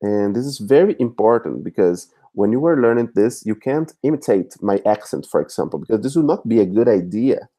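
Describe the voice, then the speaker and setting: A man's voice: on the low side, warm tone. A man from Brazil with a Brazilian Portuguese accent, a native Portuguese speaker, talking as a guest language teacher in a casual live chat over a video call, often searching for words. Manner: searching for words, frequent restarts.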